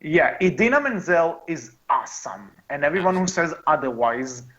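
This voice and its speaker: A man's voice: fairly high pitch, big pitch swings, a bit bright. Guy on a movie podcast, muffled lo-fi audio, opinionated, keeps stumbling and restarting sentences. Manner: halting, often repeats and restarts phrases. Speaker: podcast co-host. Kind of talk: casual movie discussion with friends.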